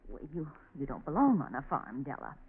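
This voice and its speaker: A woman's voice: low-pitched, very animated, fairly quick, breathy edge, exaggerated voice. An actress playing a fretful, doting middle-aged mother, anxiously pleading and cooing in 1940s radio drama style. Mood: anxious, soothing. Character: fretful, doting mother soothing her grown daughter. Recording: noisy, tinny 1940s radio recording.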